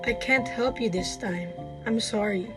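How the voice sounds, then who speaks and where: A woman's voice: on the high side, unhurried, lightly acted. Woman as a regretful friend, music behind. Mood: apologetic. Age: young adult. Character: regretful, resigned woman friend working for authorities. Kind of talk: student radio drama acting. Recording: loud guitar music behind.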